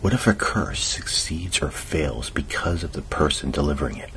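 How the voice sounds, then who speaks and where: A man's voice: lively intonation, normal speed, a bit bright, exaggerated voice. A man acting as a dry, earnest conspiracy-minded cousin in an ASMR audio drama, speaking in a sardonic, colloquial, explanatory way. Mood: earnest, musing. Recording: noisy low-quality amateur recording.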